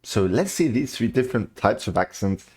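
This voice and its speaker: A man's voice: medium pitch, animated, moderate pace, darkish tone. A man with a mixed British accent, half British and half Cypriot, chatting casually while reacting to videos. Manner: loose, chatty, says "bro" a lot. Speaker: reaction channel host.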